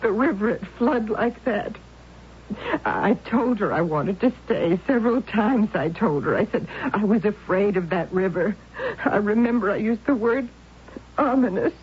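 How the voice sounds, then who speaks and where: An actress playing a devoted, anxious aunt in a 1970s radio drama, speaking in a soothing, earnest way. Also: female voice, mid-range voice, animated, a little slow, breathy edge. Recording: tinny old radio broadcast.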